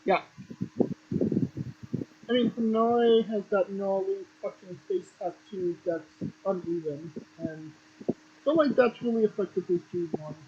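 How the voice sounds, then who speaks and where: Podcast host, a man with a husky voice, plain and matter-of-fact with offhand swearing, muffled home mic. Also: high voice, measured pace, pauses often. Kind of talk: casual wrestling chat.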